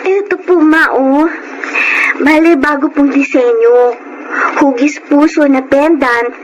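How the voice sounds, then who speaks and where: A woman's voice: sits high, steady tone, slow pace, lo-fi sound, noisy background. A woman playing a polite, hardworking thirteen-year-old girl in a Tagalog radio drama, earnest and devoted. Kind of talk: Tagalog radio drama acting with first-person narration. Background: music.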